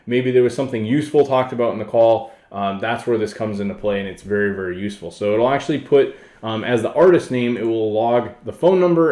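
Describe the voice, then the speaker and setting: A man hosting a casual software tutorial, explaining things informally and off the cuff. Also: mid-range voice. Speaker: tutorial host. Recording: roomy, echoey voice-over.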